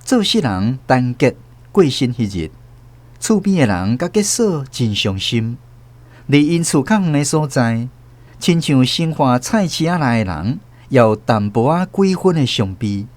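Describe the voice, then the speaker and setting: A man from Taiwan, host and narrator of a radio drama, reading the story in a measured, expressive way. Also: mid-range voice.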